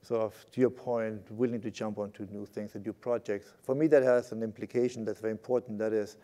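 A man's voice: lowish voice, steady tone, a little fast, warm tone. A man in his sixties speaking on a conference panel through a lapel mic, conversational and often ending his points with "right?". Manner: conversational, gestures a lot, tags points with "right?". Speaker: panelist, IT executive. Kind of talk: panel discussion. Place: conference stage.

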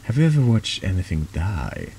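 A man voicing a troubled, cynical, secretive man in a horror game confessional, measured, brooding and polite. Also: low-pitched, huge pitch swings, vocal fry, mellow, slight background noise, voice pushed up, full character voice, rough recording. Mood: probing.

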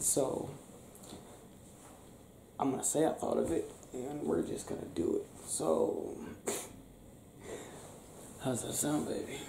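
Soft, creaky-voiced young man, slow and teasing. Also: medium pitch. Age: young adult. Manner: soft, affectionate, teasing, often near a whisper. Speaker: ASMR roleplay creator. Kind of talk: ASMR boyfriend roleplay, improvised one-sided conversation. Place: small bedroom, lying on a bed indoors. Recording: roomy sound with faint background noise.

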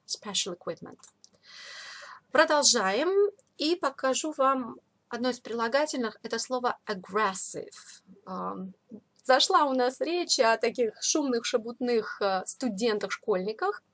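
Female English teacher, higher-pitched voice, informal and explanatory, repeats key words slowly. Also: a bit bright. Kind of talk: explanatory vocabulary lesson. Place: voice-over of a screen recording.

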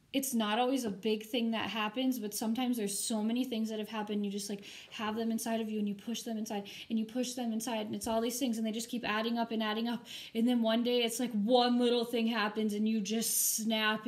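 High-voiced woman teacher reading to children. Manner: chatty, informal asides to children. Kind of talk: expressive children's story read-aloud with casual asides.

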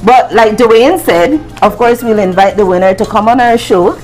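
A woman's voice: a little high, moderate pace, a little mellow, low-quality recording. Woman promoting products, music behind. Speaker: brand representative guest on a cooking show. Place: TV studio kitchen set. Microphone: lapel mic.

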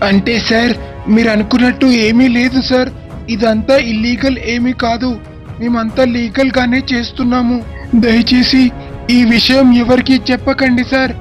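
A man's voice: very high-pitched, level tone, normal speed, soft background music. A man playing a servile, anxious crook who pleads in a cartoon moral story. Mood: pleading.

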